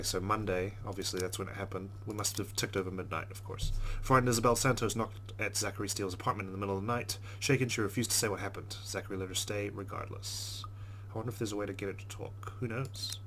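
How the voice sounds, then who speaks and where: A man with a lowish voice reads a visual novel aloud at a brisk clip. He is expressive and slips into casual commentary as he plays. A faint noise sits under the voice. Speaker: let's play host.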